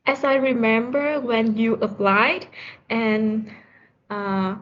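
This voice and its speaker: A woman speaks slowly and haltingly, pausing often with fillers. Her voice is a little higher than average. The call audio is muffled, with a faint hiss behind her. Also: fairly even intonation. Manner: hesitant, halting with many fillers. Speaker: student, volunteer. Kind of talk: casual online chat.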